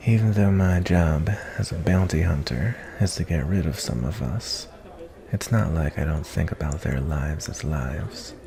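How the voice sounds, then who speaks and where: A man playing a gentle, reflective cowboy bounty hunter in a softly spoken fantasy ASMR roleplay, talking in a folksy, kindly, rambling way. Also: measured pace, a crowd in the background, heavily acted. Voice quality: low, gravelly.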